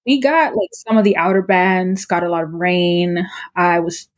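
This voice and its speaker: A woman's voice: fairly low pitch, steady tone, normal speed. Hesitant young woman from Trinidad. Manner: hesitant, many fillers and restarts. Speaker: artist guest. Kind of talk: casual interview answers.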